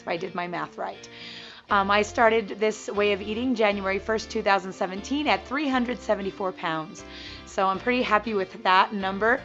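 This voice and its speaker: A woman in her thirties vlogging casually to camera, her voice gravelly and froggy from strain, chatty and sappy and quick to laugh. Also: mid-range voice, fairly bright tone. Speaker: weight-loss vlogger.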